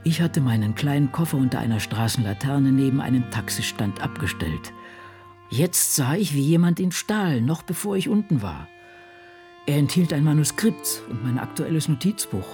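An actress playing an ailing elderly American novelist in a radio drama, brooding and blunt, speaking in a reflective, deliberate way. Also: female voice, rumbling low, exaggerated voice. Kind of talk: radio drama acting, intimate monologue.

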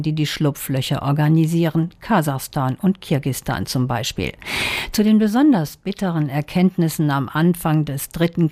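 A woman correspondent reading a political commentary in a measured, firm and pointed way. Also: lowish voice, warm tone.

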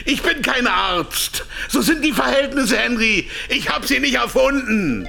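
An actor playing a captive pharmaceutical executive in a radio drama, self-justifying and slyly defiant. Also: male voice, very high voice, expressive, measured pace, gravelly, sharp tone, soft background music, heavily acted. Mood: agitated, defiant. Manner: defensive, stammering under pressure, otherwise slick. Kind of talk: radio drama acting, with whispered inner thoughts.

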